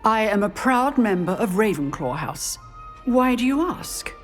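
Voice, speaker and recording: A woman's voice: medium pitch, big pitch swings, unhurried, faint music behind. An actress playing an older witch professor in a video game, poised, formal and wry, with a British accent. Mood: wry, reminiscing. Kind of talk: video game character acting.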